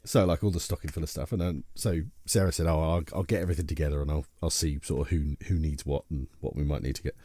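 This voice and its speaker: A man's voice: a bass voice, fairly even intonation, fast talker, few pauses, mellow, no echo. A man co-hosting a podcast, telling anecdotes in a jokey, casual way with informal British slang. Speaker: podcast co-host.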